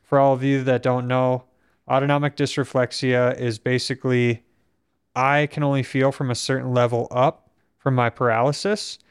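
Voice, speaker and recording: A young man from Minnesota, hosting a casual conversation and speaking in a steady, reflective way, with a voice that is not very strong on breath. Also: medium pitch, moderate pace, clean tone, no echo, clean studio sound. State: weak breath support. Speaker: podcast host.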